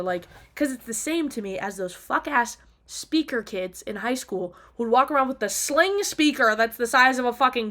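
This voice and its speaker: A woman's voice: fairly high pitch, fairly bright tone. A woman talking casually and off the cuff in an opinionated, emphatic rant, exasperated and casually sweary. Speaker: video diarist.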